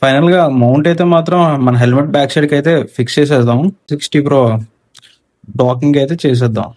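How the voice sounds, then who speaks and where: Man, Telugu accent, medium pitch, casual unboxing narration, English tech terms mixed in. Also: fast, a little mellow. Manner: mixes English technical words into talk. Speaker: motorcycle gear reviewer. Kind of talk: casual unboxing and installation walkthrough.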